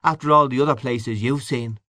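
A man's voice: mid-range voice, steady tone, fairly quick, pushed and bright, dry recording, studio quality, voice pushed up, close to an everyday voice. A man voicing a timid, earnest, naive small Irish man in an audiobook, speaking with polite insistence. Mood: hesitant, polite. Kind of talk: literary audiobook character dialogue.

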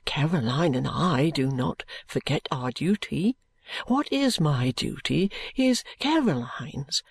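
Meek young son for an audiobook, acted by the narrator: lowered voice, slow, submissive, earnestly pleading. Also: no room sound. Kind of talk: audiobook character voice.

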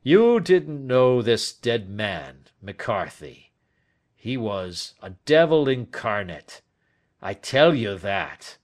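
Man doing a weary, slow-speaking dying old man. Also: medium pitch, big pitch swings, pitched above the performer's own voice. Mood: bitter. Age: older. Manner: slow, heavy, emphatic, drawn-out. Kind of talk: audiobook character acting.

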